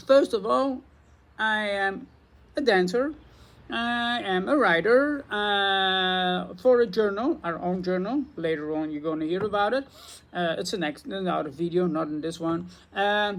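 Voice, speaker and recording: A man from Belgium, a native Dutch speaker with a Belgian Dutch accent, chatting to camera as a spiritual teacher in an excitable, rambling way. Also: high-pitched. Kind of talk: casual talk to camera.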